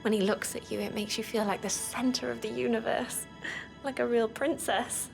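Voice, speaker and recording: A nervous young housemaid from a video game, speaks in a high voice. She is naive and hesitant, and music plays behind her. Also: fairly even intonation, normal speed, gravelly, poor audio. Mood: nervous, pleading. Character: anxious, naive young housemaid, smitten and fearful. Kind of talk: scripted video game dialogue.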